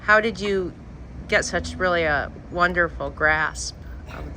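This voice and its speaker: Interviewer with a bright voice, asking questions kinda haltingly, some traffic noise faint behind. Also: medium pitch, rough recording. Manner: friendly, hesitant questions with fillers.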